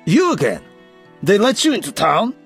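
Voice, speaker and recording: An actor playing a haughty, rich, fat merchant in a fantasy game, with a theatrical delivery. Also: male voice, high-pitched, wildly swooping pitch, normal speed, slightly rough, soft background music, full character voice. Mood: disdainful.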